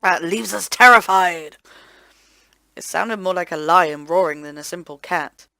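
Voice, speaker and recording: A woman hosting a let's play, reading visual novel narration aloud in an expressive, theatrical way. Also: fairly low pitch, measured pace, gravelly, dry recording. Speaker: host of a gaming let's play channel.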